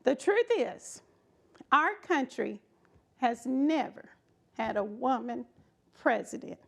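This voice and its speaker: A woman voicing an encouraging female elementary school teacher in a storybook, speaking in a measured, emphatic, instructive way. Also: on the high side, expressive, vocal fry, a bit bright, slightly rough, full character voice.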